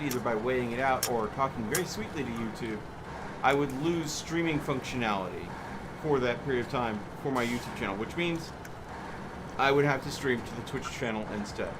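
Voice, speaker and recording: A man hosting a gaming livestream, explaining things in a measured, diplomatic way. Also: medium pitch. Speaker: livestream host. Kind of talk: gaming livestream chat with co-players. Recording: clear background vehicle game noise.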